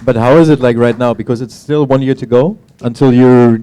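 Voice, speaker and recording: Mellow, smooth-voiced man moderating a panel. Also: medium pitch, fairly even intonation, soft background music. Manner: informal, halting, rephrasing questions as he goes. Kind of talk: casual interview questions.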